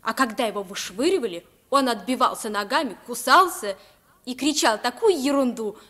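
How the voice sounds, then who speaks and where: A palace page boy, bright high voice, very proper, radio drama. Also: expressive, normal speed. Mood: formal, matter-of-fact. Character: formal, deferential young palace page boy. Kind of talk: Russian radio play acting.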